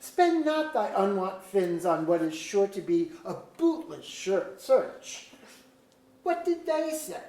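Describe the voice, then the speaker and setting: A woman's voice: medium pitch, very animated, slow pace, mellow. A woman playing a scheming, courtly earl in a staged reading of an archaic verse play, speaking in formal declamatory diction. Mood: probing. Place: museum auditorium staged reading. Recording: echoey auditorium.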